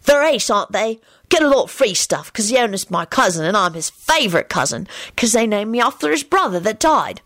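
A woman as an excitable high-pitched young boy. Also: a little fast, no echo, studio quality. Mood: excited. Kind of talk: audiobook character dialogue.

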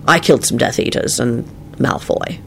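A woman's voice: rumbling low, normal speed, creaky, slight background noise, voice pushed down. A woman voicing a mild, dry-humoured, sheepish man in a storybook, with an understated, mumbling delivery. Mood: deadpan, satisfied.

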